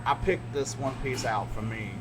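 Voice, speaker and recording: A man's voice: medium pitch, moderate pace, music in the background. A man hosting a podcast, joking through a casual chat over food. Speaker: podcast host.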